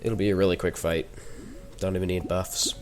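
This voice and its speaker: Teen male gaming commentator, head cold, lowish voice, loose and jokey. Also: level tone, moderate pace, loud music behind the voice. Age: teens. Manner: chatty and rambling, with joking asides. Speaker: gaming YouTuber. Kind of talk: casual gameplay commentary.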